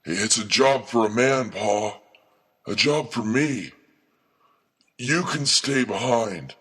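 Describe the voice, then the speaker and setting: A man acting a slow, husky, stubborn boy. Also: on the low side, animated, fairly bright tone, heavily acted. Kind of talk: audio drama acting.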